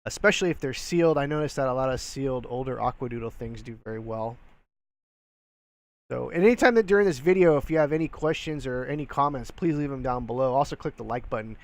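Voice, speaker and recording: A man's voice: mid-range voice, expressive, fast talker. A man in his thirties with a slightly nasal voice from a cold, casually talking about his resale items into a headset mic at home, rambling with many fillers. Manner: rambling, filler-heavy, friendly. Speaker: reseller vlogger. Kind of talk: casual talking-head vlog. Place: small room at home, indoors. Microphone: gaming headset with boom mic.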